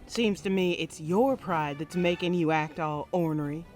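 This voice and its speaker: A woman's voice: fairly low pitch, normal speed, darkish tone, soft background music, natural voice. A woman playing a proud, feisty sheriff in a comic western drama, with firm, folksy cowgirl talk. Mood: firm, hurt. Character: proud, feisty female sheriff of the Old West.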